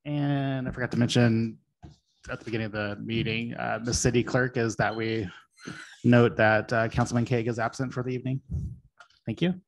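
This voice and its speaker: A man chairing a city council meeting in a council chamber, relaxed and chatty as he introduces agenda items. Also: medium pitch, brisk, poor audio. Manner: relaxed, chatty, laughs easily.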